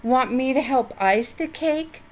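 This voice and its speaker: Storybook role: agreeable but faintly weary girl, high, slow delivery, acted by a woman. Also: lively intonation, a little mellow. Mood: helpful. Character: obliging, slightly weary young girl. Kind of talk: children's storybook character voice.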